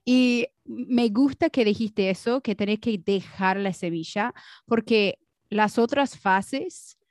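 Woman podcast co-host, talks slow and easy, drops english words in, dry close sound. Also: mid-range voice, no room sound. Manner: relaxed, mixes in English words, laughs often. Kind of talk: casual chat.

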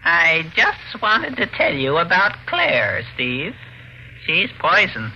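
An actor playing a spiteful ventriloquist's dummy in an old radio mystery, needling in sneering slang. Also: male voice, fairly high pitch, huge pitch swings, slow pace, slight background noise, heavily acted. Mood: sly gossiping. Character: taunting, gossiping ventriloquist's dummy, sly and spiteful. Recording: muffled vintage radio recording, faint music.

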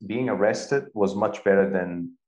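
A middle-aged man from Bulgaria with a Bulgarian accent, telling a personal story deliberately and emphatically as an interview guest. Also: low voice, a little mellow. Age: 40s. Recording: echoey room.

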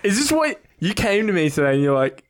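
A man co-hosting a podcast, teasing his friend with crude jokes and laughing often. His voice sits fairly high for a man and swings up and down a lot. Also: big pitch swings, fairly quick. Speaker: podcast co-host. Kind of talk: casual banter.

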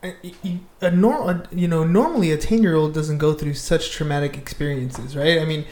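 A woman's voice: deep voice, a little fast, mellow. Casual woman interviewer in an echoey room. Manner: informal, rambling questions. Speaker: podcast interviewer, real estate agent.

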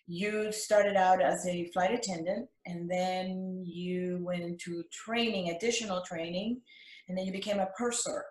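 An older woman from Cuba recounting her life as an interview guest, speaking in measured, halting phrases. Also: mid-range voice. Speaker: interview guest, retired flight attendant. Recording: echoey, roomy sound.

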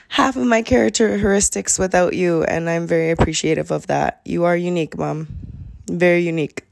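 Low, gravelly young woman podcaster. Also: darkish tone. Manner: sincere, chatty, with frequent fillers. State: slightly raspy voice. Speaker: podcast host. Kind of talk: solo podcast monologue.

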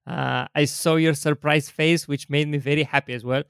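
A man's voice: fairly high pitch, steady tone, moderate pace, gravelly, fairly bright tone, smooth, no room sound, clean studio sound. A man from Bulgaria, hosting a casual interview over a video call and asking questions eagerly. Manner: eager, enthusiastic. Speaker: host.

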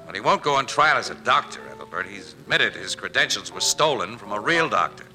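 An American actor playing a wisecracking, hard-boiled crime photographer in brisk, slangy 1940s radio drama. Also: male voice, fairly low pitch, very animated, sharp tone, exaggerated voice, poor audio. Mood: wry, relaxed. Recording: vintage 1940s radio broadcast with music.

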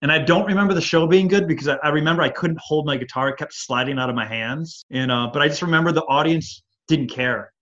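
A man's voice: on the high side, fairly bright tone, lo-fi sound. A man with a Midwestern Michigan accent and a slightly nasal voice, chatting casually as an interview guest and rambling through stories with many fillers. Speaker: band singer and guitarist, guest.

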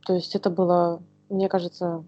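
A woman from Ukraine co-hosting a casual podcast, speaking in a halting, searching way. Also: lowish voice, little pitch movement, warm tone, muffled recording. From Kyiv, Ukraine. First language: Russian. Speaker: podcast co-host.

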